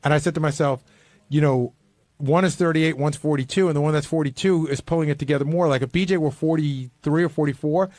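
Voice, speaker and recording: A man's voice: a little high, steady tone, fairly quick, a little mellow, no room sound. A man chatting casually as a regular on a radio show. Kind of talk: casual radio wrap-up banter.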